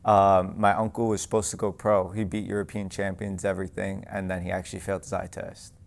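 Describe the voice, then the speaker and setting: Young new boxer guy, deep gravelly voice, pretty flat, lots of "you know". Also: a little fast. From New York. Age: young adult. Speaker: guest, amateur boxer. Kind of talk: casual interview. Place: boxing gym.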